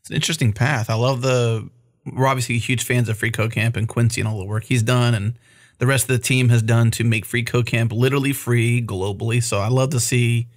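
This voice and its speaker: A man's voice: medium pitch, fairly even intonation, a little fast. A man co-hosting a podcast interview, talking in an earnest, enthusiastic way. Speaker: podcast co-host. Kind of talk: casual interview chat.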